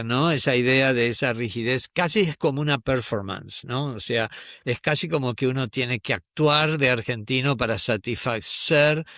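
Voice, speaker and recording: A male interviewer with a bright, forceful tone, recorded close and dry. He asks long, winding questions, padding them with fillers as he builds up to the point. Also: mid-range voice, no room sound. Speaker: interviewer, host.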